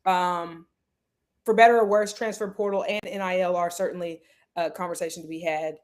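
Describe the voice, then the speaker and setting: A woman co-hosting a live sports talk show, chatting casually and animatedly with quick asides. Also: lowish voice, steady tone. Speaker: podcast co-host.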